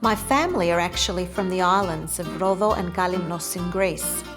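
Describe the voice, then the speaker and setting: Low-pitched Australian woman over music. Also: brisk. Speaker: interviewee telling family stories. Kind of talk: personal documentary interview. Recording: music bed behind the voice.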